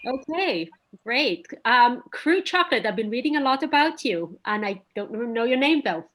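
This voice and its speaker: Higher-pitched woman moderating casually. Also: very animated, normal speed, fairly bright tone. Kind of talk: casual video-call chat.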